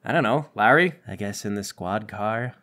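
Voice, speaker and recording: A man's voice: on the low side, expressive, normal speed, sharp tone. A man running a tabletop roleplaying game, narrating and bantering in an exasperated, sarcastic way. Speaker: game master.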